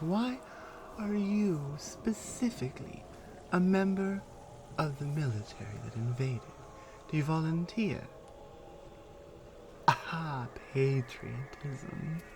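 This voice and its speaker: A woman playing a proud, stern Amazonian warrior in an ASMR audio roleplay, speaking to a prisoner in a measured, commanding way with deliberate pauses. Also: low voice, noisy background, full character voice. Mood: challenging.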